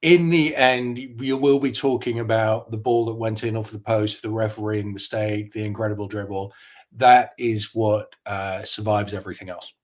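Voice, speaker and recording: A man's voice: on the low side, steady tone, moderate pace. Articulate journalist man, opinionated and reflective. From Netherlands. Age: 50s. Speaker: journalist guest. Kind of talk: conversational interview.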